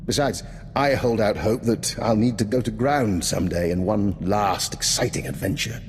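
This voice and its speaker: An actor playing an urbane, calculating old doctor and veteran spy in a video game, with a refined, courteous, understated manner. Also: male voice, lowish voice, normal speed, long reverb tail, slight background noise, lightly acted. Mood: unconcerned, wry. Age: older. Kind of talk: video game dialogue acting.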